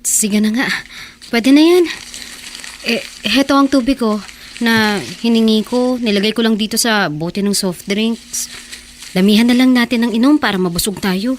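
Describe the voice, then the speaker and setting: A Filipino actress playing a stubbornly hopeful teenage runaway wife in a radio drama. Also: female voice, a little high, normal speed, pauses often, a little hiss or hum, lightly acted. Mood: hungry, hopeful. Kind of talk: Tagalog radio drama acting.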